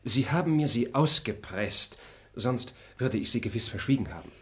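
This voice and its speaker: An actor playing a reserved, formal noble traveller in a classic radio play, speaking in a measured way. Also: male voice, mid-range voice, expressive, a bit bright, lightly acted. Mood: earnest. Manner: measured, formal, grows indignant. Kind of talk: stage radio play acting.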